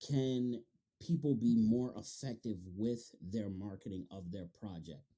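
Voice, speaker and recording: A man's voice: lowish voice, measured pace, mellow. A man hosting a casual interview, talking in loose slang and swearing freely. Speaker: podcast interviewer and manga reviewer.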